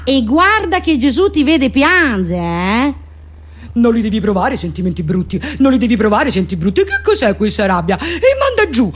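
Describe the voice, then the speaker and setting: A woman comedian with a high voice and a Roman accent delivers a stage-style monologue. She rants in an obsessive way, looping back over the same phrases as she builds her case. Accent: Roman Italian. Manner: ranting, repeating phrases obsessively. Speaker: comic monologist and actress. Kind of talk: theatrical comic monologue.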